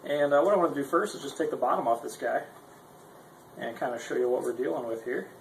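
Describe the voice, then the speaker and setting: A man's voice: medium pitch, quick pace, creaky. A man giving an informal, unscripted gear demonstration in a rambling, self-deprecating, conversational way, as the presenter of a guitar tutorial. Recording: echoey room with faint noise.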